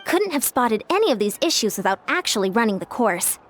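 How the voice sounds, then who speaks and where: A woman voicing a young, earnest and diligent sports coach in a video game, brisk and matter-of-fact. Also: on the high side, sing-song, soft background music. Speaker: coach and commentator. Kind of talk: video game character acting.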